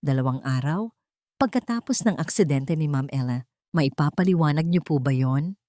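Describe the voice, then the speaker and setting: A woman voicing a calm, determined male lawyer who questions witnesses in a formal, pointed way, in an audio story. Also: deep voice, moderate pace, no room sound, clean studio sound. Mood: pointed, confident.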